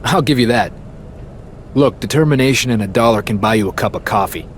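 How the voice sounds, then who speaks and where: A man acting a cocky champion wrestler from Canada in a video game. His mid-pitched voice is dry and sarcastic, tossing out wisecracks over faint noise. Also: fairly quick, natural voice. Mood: wry, cocky.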